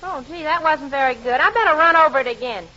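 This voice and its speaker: A muffled vintage radio recording with plenty of noise carries an eager, naive country woman. Her voice is high and folksy, full of plain-spoken exclamations, in broad 1940s comedy style. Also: expressive, fast.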